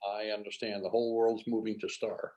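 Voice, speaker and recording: A man hosting a casual video call chat, welcoming people in a relaxed, chatty way. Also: on the low side, a little fast, lo-fi sound. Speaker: host.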